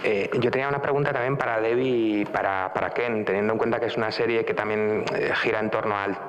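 A man's voice: on the low side, fairly even intonation, quick pace, highs cut off, slight background noise. Male journalist asking in an echoey room. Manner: hesitant, filler-laden questioning. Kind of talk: press conference question.

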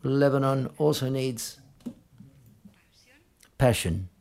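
A male interpreter with a creaky, relaxed voice at a middling pitch. He renders press conference remarks live, in piecemeal phrases with frequent self-corrections. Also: slow pace.

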